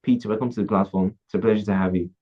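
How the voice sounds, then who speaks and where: Male podcast host, lowish voice, hesitant, restarts questions, dull lo-fi audio. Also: fairly even intonation, racing, breathy edge. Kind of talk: interview hosting. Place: Africa. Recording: dull lo-fi sound.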